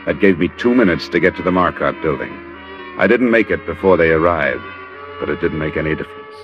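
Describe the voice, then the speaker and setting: An American actor playing a terse, deadpan hard-boiled investigator in an old radio crime drama. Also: male voice, low voice, brisk, close to an everyday voice. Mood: grim, tense. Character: hard-boiled male insurance investigator narrating his case. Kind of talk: 1940s-50s radio crime drama narration. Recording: dull old radio recording, music behind.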